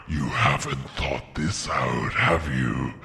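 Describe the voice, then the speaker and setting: A man playing an ancient, huge dragon in a storybook reading, wicked, mocking and boastful, in a drawn-out theatrical delivery with cavernous reverb. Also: very deep voice, gravelly, bright, forceful tone, pitched below the performer's own voice, full character voice. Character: ancient, huge male dragon, wicked, wily, gloating and menacing. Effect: added cavernous reverb. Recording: long cavernous reverb effect.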